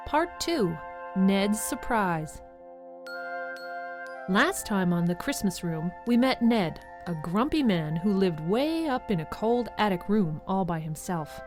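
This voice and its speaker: A woman's voice: mid-range voice, very animated, takes it slowly. Woman narrating a children's story over music.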